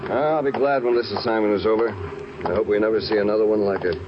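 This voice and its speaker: A man in 1940s radio acting, playing a weary, gruff fellow Texas Ranger, on a muffled old radio recording with heavy hiss. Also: on the low side, fast, natural voice.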